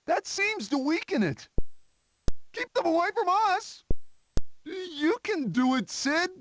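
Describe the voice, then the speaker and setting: A woman voicing an excitable young cartoon animal sidekick in a game, exclaiming and urging. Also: extremely high pitch, slow, slight background noise, full character voice. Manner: exclaiming, urgent, cheering.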